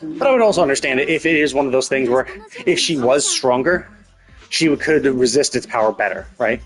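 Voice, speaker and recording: A man's voice: mid-range voice, expressive, fairly quick. Man rambling casually over background music. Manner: rambling, thinking aloud, repeating and rephrasing himself. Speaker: live streamer. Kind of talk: casual speculative game commentary.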